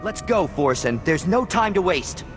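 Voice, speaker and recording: An actor playing a zealous, jumpy militia comrade in a video game, earnest and urgent in manner. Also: male voice, high-pitched, big pitch swings, a little slow, in a large hall, music in the background.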